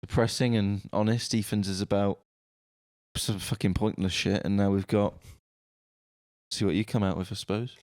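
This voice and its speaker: Young guy teasing his mates on a podcast, kinda low voice. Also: flat delivery, normal speed, long pauses, darkish tone. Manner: teasing, joking asides. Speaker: podcast co-host. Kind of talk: casual chat.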